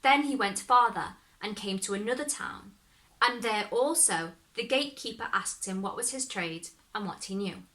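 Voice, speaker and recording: A woman reading a fairy tale aloud as expressive scripted narration, measured and dramatic. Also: mid-range voice. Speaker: story reader, performer.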